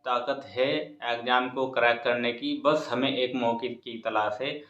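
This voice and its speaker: A man's voice: mid-range voice, steady tone, a little slow, a bit bright. Man in a dull, echoey recording. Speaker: exam coaching YouTuber and host. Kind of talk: informal exam news update with advice to students. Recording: echoey room, dull muffled sound.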